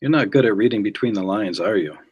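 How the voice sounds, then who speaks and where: Man acting a guarded, husky male agent. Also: on the low side, expressive, fast talker, a little mellow, muffled recording. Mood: grim. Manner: blunt, terse, dry retorts. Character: guarded, gruff, suspicious male agent, youthful looking.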